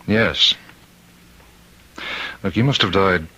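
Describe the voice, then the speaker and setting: An actor playing a prison warden in a radio drama, stern, skeptical and halting, with uneasy authority. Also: male voice, sits low, sharp tone, full character voice, poor audio. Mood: rattled, disbelieving.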